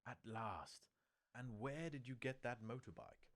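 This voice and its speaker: Man as a grave old wizard, measured. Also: mid-range voice, lively intonation, a bit bright, voice pushed up. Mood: calm. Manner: measured, serious. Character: wise old male wizard, grave, calm and firm.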